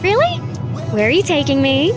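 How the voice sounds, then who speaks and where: A woman's voice: sits high, huge pitch swings, normal speed, over music. A woman acting a nagging but playful young girlfriend in an audio drama.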